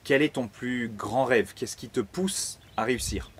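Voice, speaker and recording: A male host conducting a relaxed interview. He speaks in a friendly, encouraging way, with his questions loose and padded with fillers, in a voice of middling pitch. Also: a little slow, slight background noise. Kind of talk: casual interview.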